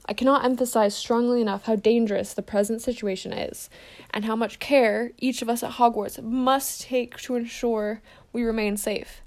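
Read by a woman, a wise old male headmaster speaks in a measured, formal way, slow and weighty. A gravelly grain runs under the voice. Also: on the high side, fairly even intonation, darkish tone, natural voice.

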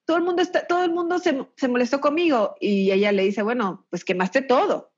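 A woman's voice: high-pitched, brisk, husky. Chatty Mexican woman on a muffled call. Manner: chatty, with frequent restarts and laughs. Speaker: guest recommending children's books. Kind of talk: casual chat.

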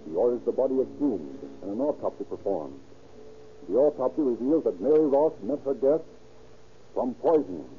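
A man narrating a scripted 1930s radio crime drama in a formal, measured, dramatic manner. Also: medium pitch, big pitch swings, a little hiss or hum, lightly acted. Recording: tinny old radio recording.

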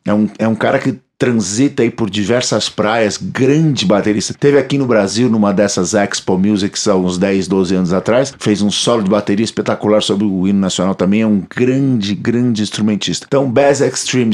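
A Brazilian man co-hosting a podcast, talking casually and enthusiastically about bass records and books, with many restarts. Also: darkish tone. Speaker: podcast co-host, bassist.

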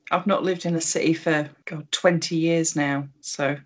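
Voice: mid-range voice, fairly even intonation, moderate pace. A podcast host leading a casual interview, laughing easily and prompting the guest with questions.

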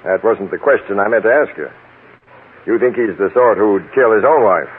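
An actor playing a brusque, methodical police captain questioning people in an old-time radio crime drama. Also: male voice, lowish voice, normal speed, breathy edge. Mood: businesslike, probing. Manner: probing, hesitant uhs, curt. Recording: noisy, narrow-band old radio recording.

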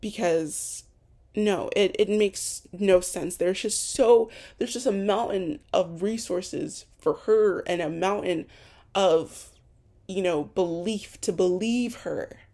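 Young woman, podcast host, rambling monologue, voice going hoarse, gravelly, mellow. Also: medium pitch, takes it slowly. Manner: rambling, with frequent restarts and ums. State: losing her voice. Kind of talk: unscripted solo podcast monologue. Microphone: home recording for an audio podcast.

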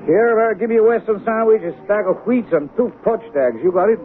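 A man's voice: high voice, expressive, a little fast, narrow, tinny audio. An actor playing a brash male diner customer in an old-time radio drama. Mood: brisk. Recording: thin vintage radio broadcast with music.

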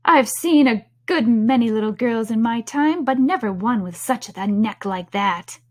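A woman's voice: lively intonation, a little slow, darkish tone. A woman voicing a frantic, scolding mother pigeon from a storybook, screeching and exasperated. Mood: contemptuous. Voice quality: shrill, screeching. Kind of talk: storybook character voice.

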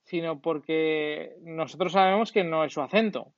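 A man in casual chat as a co-host, relaxed and asking questions. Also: a little high, moderate pace, no echo. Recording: dry, dull lo-fi sound.

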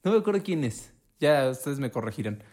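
A man with a Mexican accent, talking in the middle of his pitch range. He chats in a slangy, profane way, punching up quoted lines with animated energy. The style is a relaxed podcast conversation. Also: fairly quick. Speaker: podcast co-host. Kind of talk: casual conversation.

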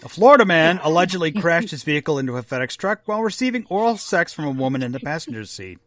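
Lively-voiced man in his fifties. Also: a little high, fast talker. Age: 50s. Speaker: podcast co-host. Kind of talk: casual chat.

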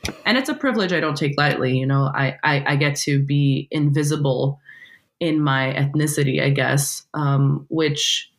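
Low-voiced Brazilian-born woman with American accent. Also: normal speed. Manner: reflective, frequent "like" and "um" fillers. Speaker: poet, guest. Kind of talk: casual interview conversation.

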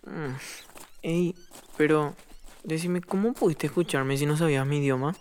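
A woman's voice: low voice, moderate pace, a little mellow, slight background noise, lightly acted. A woman playing a lonely, skeptical ten-year-old boy in an audio drama. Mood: subdued, confiding.

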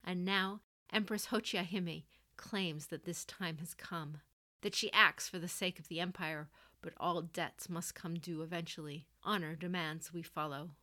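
A woman's voice: fairly low pitch, fairly even intonation, unhurried, sharp tone. Severe older noblewoman and general, performed by a female narrator, blunt and commanding, fantasy audio story. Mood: firm, resolute.

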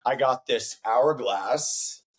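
A young man, hoarse from laryngitis and a bit deeper than usual, telling animated stories in a casual interview and acting out his own quotes. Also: takes it slowly. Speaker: singer-songwriter guest.

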